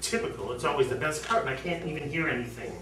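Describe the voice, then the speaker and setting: A man's voice: on the high side, quick pace, a crowd in the background, close to an everyday voice. A man playing a disgruntled, sarcastic husband at a wedding in an amateur stage play. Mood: grumbling. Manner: complaining, exasperated, sarcastic. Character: disgruntled husband and garage boss, sulky wedding guest. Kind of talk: amateur one-act stage play acting. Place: indoor theatre studio, heard from afar. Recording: roomy distant stage recording, faint noise.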